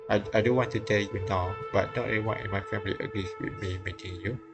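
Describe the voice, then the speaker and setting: A man streaming a visual novel, reading it aloud haltingly with casual comments. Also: lowish voice, steady tone. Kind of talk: live read-aloud narration with casual comments. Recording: game music behind. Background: game music.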